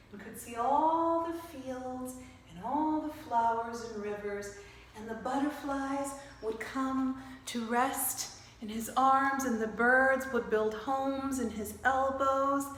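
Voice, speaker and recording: A woman telling a children's story expressively, guiding young listeners to act along with her. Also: fairly high pitch. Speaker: storyteller, author reciting own story. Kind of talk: children's storytime with movement cues. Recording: roomy echo, faint background noise.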